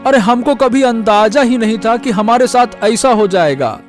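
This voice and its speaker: A man's voice: very high voice, moderate pace, faint music behind. A man playing a meek, distressed middle-aged village father in a cartoon, pleading and lamenting as he speaks. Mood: remorseful, despairing. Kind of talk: cartoon voice acting.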